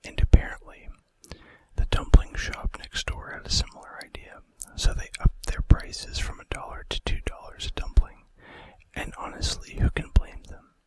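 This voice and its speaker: A man narrating facts for an ASMR video in a breathy, unvoiced whisper with casual, conversational asides. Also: normal speed, darkish tone, low-quality recording.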